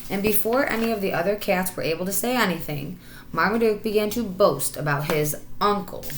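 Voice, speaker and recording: A woman teacher reading a children's story aloud with expressive narration. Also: mid-range voice, fairly quick, echoey room, a little hiss or hum.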